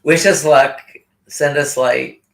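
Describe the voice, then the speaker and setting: Slow easygoing man. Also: a little high, fairly even intonation, vocal fry, a bit bright. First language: island language. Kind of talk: casual live stream chat.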